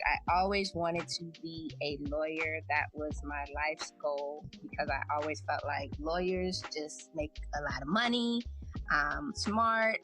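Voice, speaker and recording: A woman in her thirties, a podcast host, telling her life story in a relaxed, chatty, reflective way. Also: on the low side. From United States. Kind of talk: casual personal storytelling.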